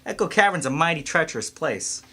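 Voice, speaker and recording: A folksy country constable, played by a man, excitable and plain-spoken, in a radio mystery. The voice is bright and forceful and swings widely up and down. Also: on the high side, fairly quick, slightly rough, heavily acted. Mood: folksy, wary. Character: folksy male small-town constable and ambulance driver, excitable. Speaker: amateur voice actor in an audio mystery. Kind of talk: scripted radio mystery acting.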